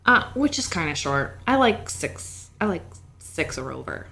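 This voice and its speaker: A woman's voice: lowish voice, sing-song, unhurried, gravelly, bright, forceful tone. A woman in her twenties co-hosting a podcast, chatting casually, giggly and playful. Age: 20s. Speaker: podcast co-host. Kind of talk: casual chat.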